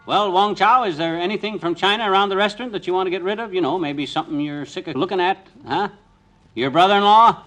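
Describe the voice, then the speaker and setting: An actor playing a blustery, impatient small-town schemer of a husband in a 1950s radio comedy, talking in a colloquial, hurried way. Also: male voice, sits high, sharp tone. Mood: wheedling, impatient. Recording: old radio recording, faint noise.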